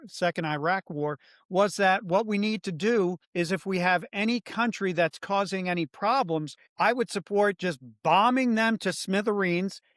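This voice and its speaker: A middle-aged man co-hosting a political podcast, talking in a measured, thoughtful way with frequent restarts. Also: high voice, fairly bright tone, clean tone, dry recording, studio quality. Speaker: podcast co-host. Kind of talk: political commentary.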